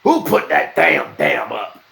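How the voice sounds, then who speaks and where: A man voicing a menacing, taunting knight with rough rustic speech, in a storybook reading. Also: mid-range voice, wildly swooping pitch, takes it slowly, a crowd in the background, voice pushed up, exaggerated voice. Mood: threatening, taunting. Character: gruff, menacing, bullying man-at-arms knight. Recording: roomy echo, faint noise.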